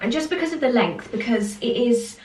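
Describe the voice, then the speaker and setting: A woman's voice: on the high side, expressive, fairly quick, darkish tone. A woman with a cold and a nasal voice, chatting upbeat to camera at home as a home decor vlogger. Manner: chatty and upbeat, frequent little laughs. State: has a cold. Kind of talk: casual chat to camera. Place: at home, indoors. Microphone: handheld camera.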